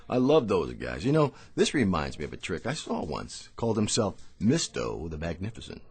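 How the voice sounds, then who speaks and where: Deep-voiced, wry department-store Santa. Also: male voice, huge pitch swings, husky, full character voice. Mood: wry, amused. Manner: halting, wry, politely needling. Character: down-on-his-luck department-store Santa, wistful, wry, gentle old rascal. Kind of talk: radio drama acting. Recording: music bed behind dialogue.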